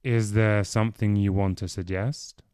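A man voicing a reserved, composed man who speaks with slow, careful caution. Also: on the low side, flat delivery, a little mellow, clean tone, no echo, studio quality, lightly acted. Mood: cautious.

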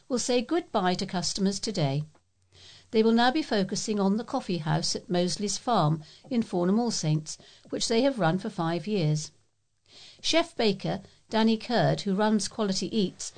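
Female volunteer newsreader, gravelly creak in a mid-pitched voice, reading scripted local items. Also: a little mellow. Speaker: volunteer newsreader for a talking newspaper. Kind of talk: read-aloud scripted local news.

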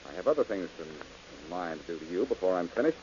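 A cocky detective who stays calm and needles his enemy with dry mockery, on a 1940s radio show. His voice sits low, but the delivery swings widely in pitch. The old broadcast sounds dull, with a faint hiss underneath. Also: brisk. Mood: taunting. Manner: cool, mocking and self-assured. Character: cocky, cool-headed male detective. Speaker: detective hero.

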